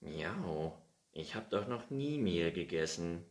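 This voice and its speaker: A man's voice: deep voice, expressive, moderate pace, reverberant, voice pushed up. A man voicing an impatient, easily offended young male cat who meows while complaining in a storybook reading. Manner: sulky, offended replies with meows.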